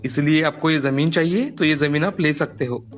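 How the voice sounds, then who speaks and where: A man playing a trusting, good-natured farmer father in an expressive, earnest storybook style. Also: on the high side, moderate pace, lightly acted. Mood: earnest, gentle. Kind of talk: dramatized Hindi folk tale dialogue.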